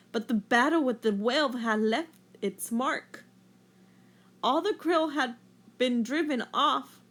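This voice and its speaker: Casting: female storyteller, high voice, very lively intonation, expressive picture-book narration for children. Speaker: teacher reading a story aloud.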